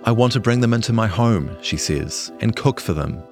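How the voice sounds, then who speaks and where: A man's voice: lowish voice, animated, normal speed, soft background music. A man reading a scripted longform news feature as a journalist narrator.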